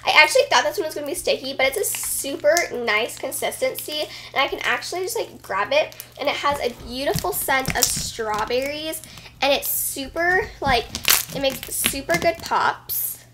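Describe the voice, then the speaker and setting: A woman's voice: high-pitched, expressive, normal speed. A young teenage girl hosting a casual, chatty review to camera in an enthusiastic, gushing way. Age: young teen. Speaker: vlog host reviewing slime. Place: carpeted bedroom, indoors. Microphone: camera a few feet away. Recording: slightly noisy room recording with clicks.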